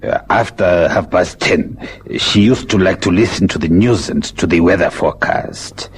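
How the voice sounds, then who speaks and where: An actor with a South African accent playing a cautious, deferential servant in a radio crime drama, halting and formal. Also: male voice, sits low, breathy edge. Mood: deferential, nervous. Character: deferential, cautious long-serving African houseboy under questioning.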